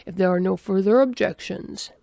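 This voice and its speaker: A woman voicing a calm, stubborn male alien ecologist who speaks slowly and deliberately. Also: medium pitch, vocal fry, no room sound, clean studio sound, natural voice. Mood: firm. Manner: slow, deliberate and flatly dismissive.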